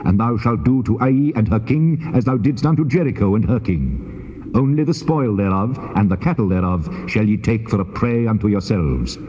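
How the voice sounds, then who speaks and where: Role: God played by a man in scripture audio drama. Mellow, stern, commanding, in long reverb. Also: on the low side, lively intonation, moderate pace, natural voice. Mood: commanding, assuring. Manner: solemn, commanding. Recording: cavernous reverb, dull old cassette tape.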